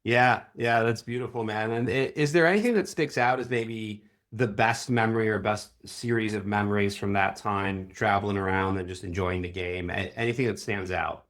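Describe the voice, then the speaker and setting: A man's voice: lowish voice, normal speed, few pauses, fairly bright tone. A man hosting a conversational interview, asking questions in an informal, appreciative manner.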